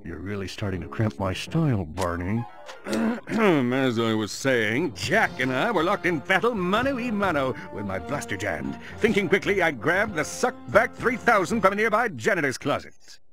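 An actor playing a vain, boastful would-be hero in a video game, telling tall tales pompously. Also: male voice, on the high side, big pitch swings, moderate pace, music in the background. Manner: pompous, self-dramatizing. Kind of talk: animated video game cutscene acting.

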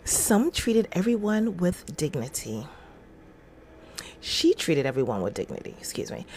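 A woman's voice: mid-range voice, darkish tone, slight background noise. A middle-aged woman hosting a casual devotional livestream, reading aloud and adding informal, chatty asides. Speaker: livestream host. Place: small indoor room at home.

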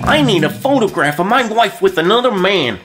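Whiny frantic husband client, super high sharp voice, fifties radio comedy. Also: male voice, big pitch swings, a little fast, soft background music. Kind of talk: 1950s radio ad comic acting.

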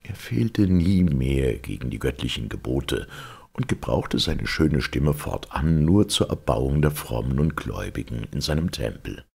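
Male audiobook narrator for old legends: extremely low, husky, measured and dramatic. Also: big pitch swings, a little mellow. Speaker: storyteller.

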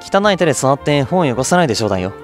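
An aloof, bookish woman from a visual novel game, as a man acts her out. She is prickly, curt and ladylike, with varied intonation. Quiet music runs behind her. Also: medium pitch, sing-song, rapid-fire, close to an everyday voice. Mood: annoyed.

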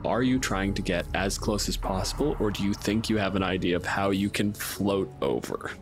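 A man's voice: low-pitched, moderate pace, a little hiss or hum. A man running a tabletop game as game master, explaining in a conversational way. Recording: music behind. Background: clearly audible music.

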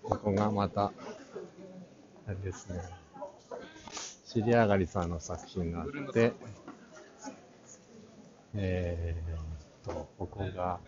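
A middle-aged man giving casual walk-through commentary for a vlog, halting and muttering asides to himself. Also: deep voice, steady tone, crowd noise. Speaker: art channel vlogger giving a walk-through. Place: large crowded indoor exhibition hall. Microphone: action camera at arm's length, face mask on. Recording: muffled audio in a busy crowded hall.

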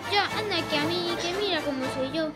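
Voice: very high voice, fairly quick. A child of about ten playing an anxious prisoner escaping a dungeon in a homemade cartoon, repeating words hurriedly. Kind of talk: improvised children's cartoon dialogue. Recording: loud music over the voice.

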